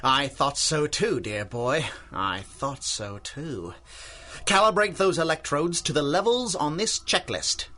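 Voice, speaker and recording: An older radio-drama scientist consumed by his obsession. His voice is forceful and highly animated, with formal, theatrical phrasing. He is courteous on the surface, patronizing and ruthless underneath. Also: measured pace. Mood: wistful, fond. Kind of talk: 1930s-style radio drama acting.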